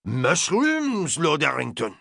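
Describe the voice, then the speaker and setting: A man's voice: on the high side, huge pitch swings, takes it slowly, creaky, a bit bright, no room sound, studio quality, voice pushed up, exaggerated voice. A man voicing a short, rotund French chef with a strong French accent, proud and theatrical. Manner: indignant, theatrical.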